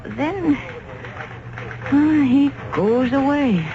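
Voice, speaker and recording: A timid, devoted secretary with a lilt stammers through her worries. Her lively voice rises and dips as she frets. The sound is dull vintage radio with a faint hiss. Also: sits high, extremely slow, heavily acted. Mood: worried. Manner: hesitant, flustered. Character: loyal middle-aged secretary, anxious and timid. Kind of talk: 1970s radio drama acting.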